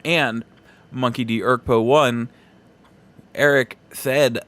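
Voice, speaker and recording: A man hosting a solo podcast, giving casual commentary in a halting, rambling way and repeating himself for emphasis. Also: mid-range voice, fairly even intonation, a bit bright. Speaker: podcast host.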